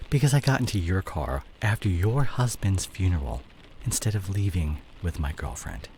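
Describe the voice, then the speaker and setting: A man's voice: fairly low pitch, a little hiss or hum, low-quality recording. A man playing a fallen, evasive CEO boyfriend in an intimate, dramatic roleplay audio drama. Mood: defensive. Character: cheating fallen CEO boyfriend, smooth-talking, evasive, image-obsessed.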